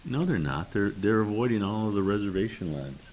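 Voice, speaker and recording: A man's voice: lowish voice, lively intonation, fairly quick, darkish tone, a little hiss or hum. An older man with grandkids co-hosting a talk show, wry and needling in his banter. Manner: wry, needling, self-deprecating. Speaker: co-host.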